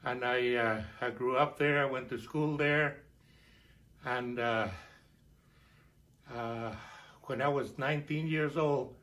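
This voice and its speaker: An older man with a Chilean Spanish accent talks about his life from his living room. His voice sits at a middle pitch, and he stumbles through his answers with frequent restarts and fillers. He is recorded on a camera phone nearby. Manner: warm, halting, many fillers and restarts. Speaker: interviewee. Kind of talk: casual conversation. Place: living room at home, indoors.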